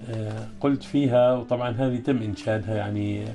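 A male poet interviewed as a guest, speaking hesitantly and then reciting his poems in a slow, chanting cadence. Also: on the low side, fairly even intonation, creaky, warm tone, soft background music.

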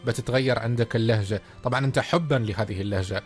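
A man with an Omani Arabic accent co-hosting a radio talk show, relaxed and full of hesitant fillers. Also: medium pitch, rapid-fire. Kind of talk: casual radio chat.

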